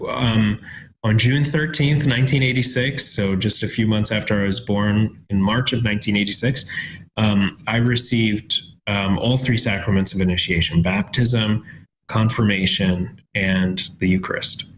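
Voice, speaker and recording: A lower-voiced man in his thirties gives a reflective testimony as a talk-show guest. He pauses often and searches for his words. A faint hiss of background noise sits under his voice. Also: steady tone, low-quality recording. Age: 30s. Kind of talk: sharing a personal faith testimony.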